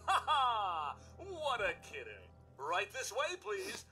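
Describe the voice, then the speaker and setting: A man's voice: high voice, wildly swooping pitch, slow pace, sharp tone, breathy edge, faint music behind, exaggerated voice. A man playing a showy robot game show host in a video game, with exuberant, theatrical announcer patter. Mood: showy, excited.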